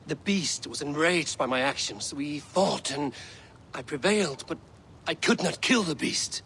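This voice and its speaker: An actor playing a grave, resolute Viking warrior in a video game, speaking in a solemn, measured, formal way. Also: male voice, on the high side, bright, forceful tone, a little hiss or hum. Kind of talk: dramatic video game cutscene acting. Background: music.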